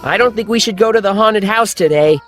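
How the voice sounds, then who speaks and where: A man voicing a cautious, easily spooked boy sidekick in an animated storybook. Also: high voice, a little fast, soft background music. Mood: wary. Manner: hesitant, wary, easily spooked.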